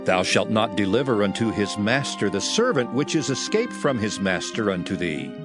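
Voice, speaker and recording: A man's voice: lowish voice, very animated, moderate pace. A man reading scripture as a dramatized audio Bible narration, in a solemn, declamatory manner. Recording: music bed under voice. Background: music.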